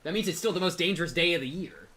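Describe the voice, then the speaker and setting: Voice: medium pitch, expressive, very fast, a bit bright. A streamer giving casual, wry, slangy reaction commentary and reading game narration aloud. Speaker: streamer playing and reacting to a visual novel.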